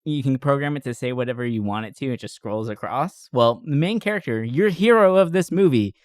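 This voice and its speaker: Animated male podcast host, dry close sound. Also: mid-range voice, brisk, no echo. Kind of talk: casual chat about movies.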